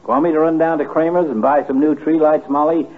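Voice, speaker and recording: An actor playing a portly middle-aged doctor in a 1950s radio comedy, dry, wordy and mock-dignified. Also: male voice, on the high side, fairly even intonation, a little fast, phone-quality sound, lightly acted. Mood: bantering. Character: portly, middle-aged male doctor, dryly trading insults. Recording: thin, band-limited 1950s radio recording.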